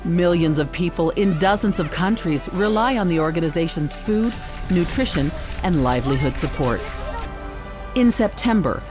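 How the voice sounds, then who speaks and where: A woman narrating a news report in a measured, scripted delivery. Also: fairly low pitch, animated. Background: music bed.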